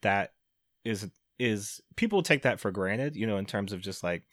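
Deep-voiced laid-back male podcast host. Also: lively intonation, normal speed, a bit bright, no room sound, clean studio sound. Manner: laid-back, lots of "you know" and "like". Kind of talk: casual podcast conversation.

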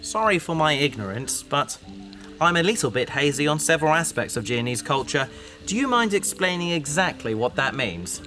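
An actor playing a well-meaning, awkward and pedantic crew member in a sci-fi audio drama, earnest and apologetic. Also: male voice, a little high, sing-song, normal speed, sharp tone, soft background music. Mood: sheepish.

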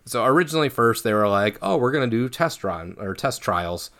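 A man's voice: medium pitch, sing-song, fairly quick, bright, forceful tone. A man co-hosting a fan podcast, chatting informally in a casual, self-deprecating way. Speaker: co-host of a horror attraction fan podcast. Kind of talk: casual chat.

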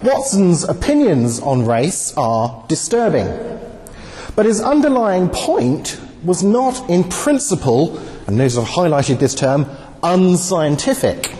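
A man giving an academic lecture to students, with a slightly croaky voice and a wry, animated manner. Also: a little high, slow pace. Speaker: guest speaker, philosopher. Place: lecture hall. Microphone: handheld microphone. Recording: long hall reverb, faint noise.

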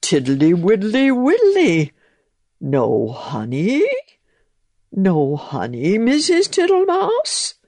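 A woman's voice: mid-range voice, huge pitch swings, slow pace, a little mellow, dry recording, voice pushed up, full character voice. A woman voicing a greedy, easygoing male toad who hums his words in a lilting sing-song.

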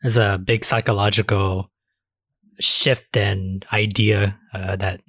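A man's voice: on the low side, level tone, slow, a bit bright, no room sound, clean studio sound. A man co-hosting a podcast, chatting casually in loose, colloquial phrasing. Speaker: podcast co-host. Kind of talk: casual chat.

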